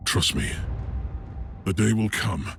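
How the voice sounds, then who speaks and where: Low-voiced, coldly courteous fanatic. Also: male voice, lively intonation, slow pace, creaky, fairly bright tone, exaggerated voice. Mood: fervent, assured. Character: calm, fanatical male renegade, coldly courteous and self-assured. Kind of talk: theatrical video game voice acting.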